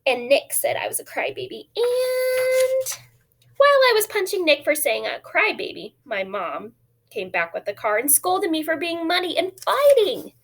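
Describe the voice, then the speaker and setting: Woman reading as a grumpy, high-voiced little boy. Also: sing-song, normal speed, exaggerated voice. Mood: grumbling. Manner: complaining, emphatic, dramatic. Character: grumpy, aggrieved little boy telling his bad day.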